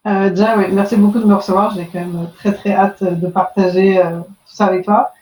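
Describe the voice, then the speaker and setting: A woman's voice: mid-range voice, steady tone, a little fast, darkish tone, echoey room, far from the mic, rough recording. A woman in her thirties from southern France, a podcast guest chatting hesitantly with many fillers over a remote call. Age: 30s. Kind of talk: casual interview chat.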